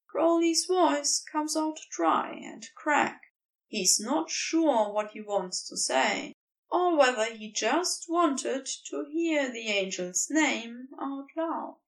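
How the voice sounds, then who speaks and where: A woman reading a tender romantic story as scripted narration, in a gentle, literary manner. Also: sits high, lively intonation, slow, distant mic, low-quality recording. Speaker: fan fiction audiobook reader.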